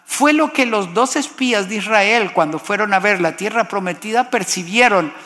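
A man's voice: sits high, expressive, normal speed, sharp tone, in a large hall. A man from Costa Rica preaching a sermon, impassioned and building to exclamations.

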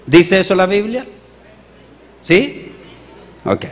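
Chilean pastor guy preaching slow, lots of questions at the crowd, big hall echo. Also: sits high. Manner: animated, rhetorical questions to the congregation. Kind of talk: sermon.

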